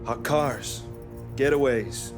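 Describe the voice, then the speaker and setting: An actor playing a shady, self-assured car mechanic in a video game, a man who is smitten with automobiles and smooth in his talk. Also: mid-range voice, takes it slowly, loud music behind the voice, lightly acted. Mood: breezy, confident. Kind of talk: video game cutscene acting.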